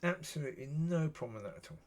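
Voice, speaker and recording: A man with a husky voice, talking alone in a rambling, musing way and often saying "yeah". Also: on the high side, quick pace. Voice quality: husky, gravelly. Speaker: solo video talker. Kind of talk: rambling solo monologue.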